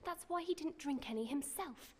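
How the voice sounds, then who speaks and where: Actress as a high-voiced, genteel young sweetheart. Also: female voice, moderate pace, a little hiss or hum, lightly acted. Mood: frightened. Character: polite, wary young woman, dreamy sweetheart. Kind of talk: radio play acting.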